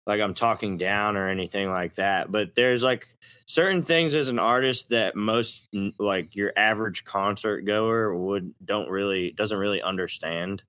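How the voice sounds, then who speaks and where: A man's voice: fairly low pitch, measured pace, pushed and bright, clean tone, no room sound. A man with a Texas accent chatting casually in an interview as a country rock singer, folksy, plain-spoken and a little profane.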